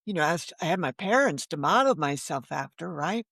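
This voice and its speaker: A woman's voice: sits low, lively intonation, moderate pace, creaky, a bit bright, breathy edge, no echo, clean studio sound. An older American woman from Connecticut, around seventy, talking candidly as an interview guest in an emphatic, anecdotal way with rhetorical tag questions. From Connecticut, United States. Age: older, about 70. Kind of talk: candid conversational storytelling.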